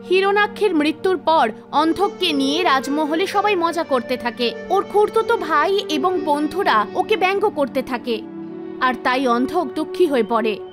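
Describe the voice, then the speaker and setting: Female narrator for animated mythology tales; high-pitched, scripted storytelling delivery with clear background music.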